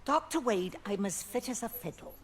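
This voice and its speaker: An actress playing an elderly, sharp-tongued, mournful English village widow in a naturalistic video game drama. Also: female voice, medium pitch, lively intonation, moderate pace, close to an everyday voice. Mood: brisk, scornful. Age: older.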